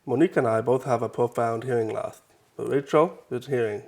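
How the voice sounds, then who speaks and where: A man's voice: mid-range voice, a little fast, creaky, a little mellow. A man, a father, introducing his family in a friendly, straightforward way. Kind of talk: personal introduction to camera.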